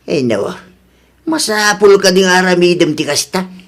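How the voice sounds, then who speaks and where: A man's voice: sits high, animated, moderate pace. Man acting dry, chiding old Filipino grandfather. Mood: sensible, admonishing. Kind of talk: radio comedy drama acting.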